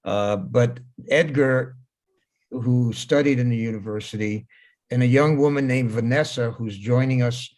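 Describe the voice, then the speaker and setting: A man's voice: mid-range voice, measured pace, a little mellow. A man in his seventies whose first language is Hebrew, talking casually over a video call, plain-spoken and wry, often repeating his words. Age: 70s. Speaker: speaker at a talk. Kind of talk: casual talk.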